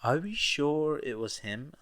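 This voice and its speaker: A man's voice: a little high, sing-song, unhurried, fairly bright tone, pitched above the performer's own voice. A man voicing a casual, chatty henchman in a band of villains. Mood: puzzled.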